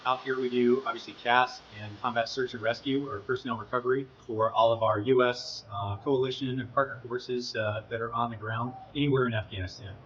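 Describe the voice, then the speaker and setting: A man's voice: mid-range voice, fairly even intonation, brisk, few pauses, a bit bright, muffled recording, slight background noise. A man speaking as a military pilot, recounting his work in an interview with plenty of jargon.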